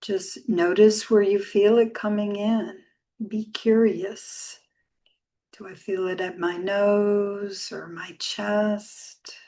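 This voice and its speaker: A woman leading a guided meditation as a yoga teacher, speaking softly and soothingly with long, unhurried pauses. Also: medium pitch, steady tone.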